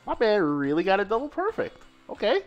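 A man co-hosting a live fighting-game stream, commentating matches and reading chat aloud, excitable and quick to laugh. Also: sits high, muffled recording. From Seattle.

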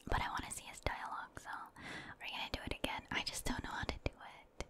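Female ASMR gamer, fully whispered delivery, casual and slangy, muttering reactions to viewers during play. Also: fast talker. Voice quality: soft unvoiced whisper. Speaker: streamer, gaming ASMR creator. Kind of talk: whispered ASMR gameplay commentary.

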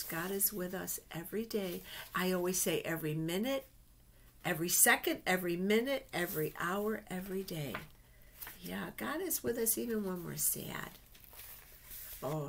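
A middle-aged woman tells children's Bible stories in a slightly low voice. She takes her time, reading from picture books and chatting directly to young listeners in simple phrases. She speaks at desk distance from a webcam in a small office. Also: a bit bright, slight background noise.

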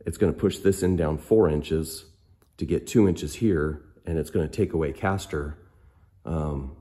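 A hoarse, very deep-voiced middle-aged man walks through his work in a garage workshop. He talks slowly and casually, pausing often with ums as he explains. The sound comes from a handheld phone camera. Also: warm tone. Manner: unhurried, hesitant, many ums. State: hoarse from a cold. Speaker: off-road fabricator. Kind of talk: casual technical walkthrough.

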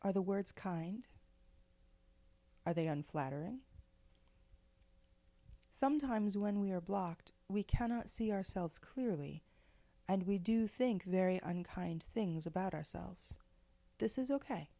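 A woman leading a guided meditation in a gentle, measured, soothing way, with pauses between phrases. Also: mid-range voice, animated, dry recording. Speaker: guided meditation leader, spiritual director.

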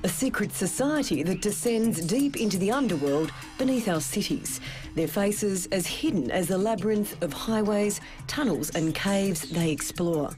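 A woman reporter reading a scripted current-affairs voice-over in a measured, dramatic storytelling way. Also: medium pitch, animated, music in the background. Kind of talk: scripted news narration. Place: studio voice-over.

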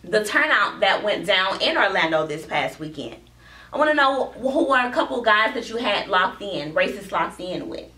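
Bright brisk woman hosting an interview. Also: medium pitch, expressive. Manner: brisk, cheerful presenter patter. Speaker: interviewer, show host. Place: small studio office. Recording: echoey room, mic far off, faint noise.

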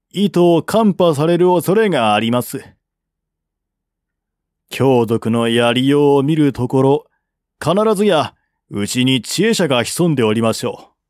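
A man's voice: mid-range voice, a little mellow, no room sound, clean studio sound, natural voice. A man voicing an earnest, persuasive envoy of ancient China in a historical audiobook, speaking formally and deferentially. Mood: insistent pleading.

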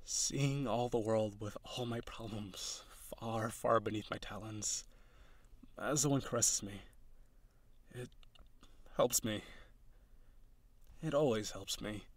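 A man playing a tender, insecure young male harpy in an intimate audio roleplay, halting and pleading as he speaks. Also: on the low side, no echo. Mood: wistful, calm.

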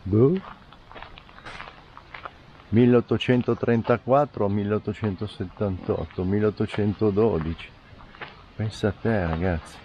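A man's voice: on the low side, unhurried, long pauses. A middle-aged man, chatting casually for a travel vlog. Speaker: travel vlogger. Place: outdoors and inside a camper. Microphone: handheld camera mic. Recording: muffled, noisy camera audio.

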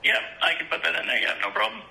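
A man's voice: rapid-fire, sharp tone, roomy sound, low-quality recording. A man taking a phone booking as a reservations agent, polite, apologetic and hesitant. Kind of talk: customer service phone call.

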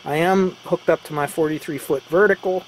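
Guy casually explaining stuff step by step, slightly high voice, bit of noise on the camera mic. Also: steady tone, fairly quick, low-quality recording. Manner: informal, conversational, step-by-step. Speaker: radio hobbyist presenter. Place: indoors beside radio equipment.

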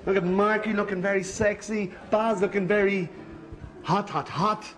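A man's voice: sits high, little pitch movement, moderate pace. A man bantering casually on a reality show as an aspiring boy-band singer. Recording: echoey room with loud music behind.

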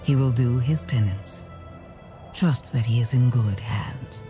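A stern high priestess speaks in a low, slow voice full of solemn zeal. She sounds commanding and reverent, as in a fantasy game cutscene, over faint music. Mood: solemn, devout. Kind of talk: dramatic video game cutscene acting.

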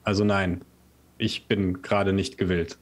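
A man role-playing in a tabletop game, speaking firmly and in measured phrases. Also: deep voice, little pitch movement. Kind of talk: in-character tabletop dialogue.

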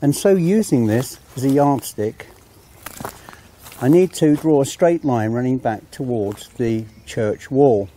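A middle-aged Englishman presenting a documentary to camera in an outdoor country churchyard, telling the story in a measured, solemn way. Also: medium pitch, mellow.

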